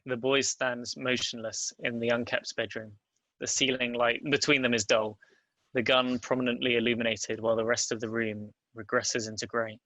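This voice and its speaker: Male narrator, very bright crisp-edged tone, even-keeled range, dry close sound, scripted stage directions. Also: mid-range voice, moderate pace, no echo, poor audio. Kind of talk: scripted narration at a table read.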